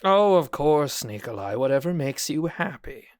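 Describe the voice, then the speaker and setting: A man's voice: on the high side, animated, dry recording, clean studio sound, close to an everyday voice. A man playing a smug hospital examiner in an audio drama, condescending, falsely cheerful and coldly dismissive. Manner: slow, condescending, mock-pleasant. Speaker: hospital staff examiner character.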